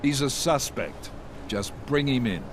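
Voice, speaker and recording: An actor playing a stern, controlled intelligence chief in a video game, clipped and authoritative. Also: male voice, medium pitch, lively intonation, measured pace, background noise, natural voice. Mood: firm. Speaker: agency director briefing agents. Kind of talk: video game cutscene acting.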